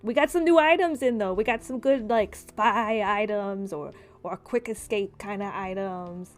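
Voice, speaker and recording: A woman's voice: medium pitch, a little slow, soft background music. A woman improvising as game master and explaining things conversationally in a tabletop roleplaying game. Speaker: game master voicing a shopkeeper in a tabletop RPG podcast.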